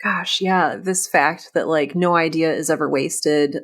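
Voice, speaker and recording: A woman's voice: sits low, fairly even intonation, unhurried, a bit bright. A woman hosting a conversational interview in an informal, earnest and effusive manner. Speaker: podcast host, interviewer.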